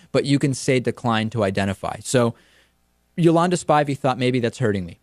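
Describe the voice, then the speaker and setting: A man's voice: medium pitch, darkish tone, no room sound. Young male host, dry studio sound. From Argentina. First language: Spanish. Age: young adult. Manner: emphatic, explaining with hand gestures. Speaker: talk show host. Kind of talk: news commentary monologue. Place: TV studio set, indoors. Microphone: studio mic on a stand in front of him.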